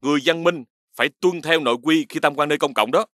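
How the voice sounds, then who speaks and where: A man's voice: a little high, fast, a bit bright, no room sound, close to an everyday voice. A man voicing a strict, instructive father dog in a cartoon. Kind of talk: cartoon dubbing.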